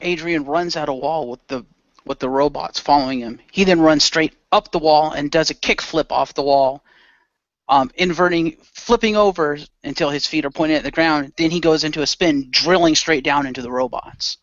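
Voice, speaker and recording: A man narrating an action radio play in a casual, halting, improvised style. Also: a little high, fairly bright tone, no room sound, dull audio.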